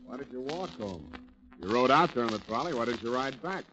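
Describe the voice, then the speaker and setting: An actor playing a cold, sarcastic police detective grilling a suspect, in 1940s radio drama style. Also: male voice, mid-range voice, animated, fairly quick, sharp tone. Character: hard-nosed sarcastic male police detective. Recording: dull old radio recording.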